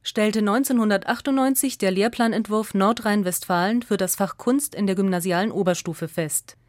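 A woman's voice: mid-range voice, normal speed, dry recording. Woman reading a radio essay, dry studio sound. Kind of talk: scripted radio essay narration.

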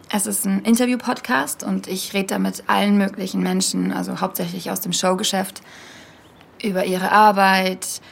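An actress playing an earnest young podcaster who talks informally and hesitantly, like a confessional monologue in an audio drama. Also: female voice, mid-range voice, gravelly. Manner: hesitant, self-conscious, informal. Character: young female podcaster, earnest, self-conscious. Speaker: podcast host.

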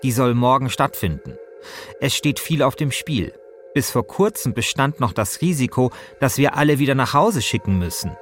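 A man's voice: medium pitch, expressive, normal speed. A man narrates a podcast from a script in the first person, as its host. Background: music.

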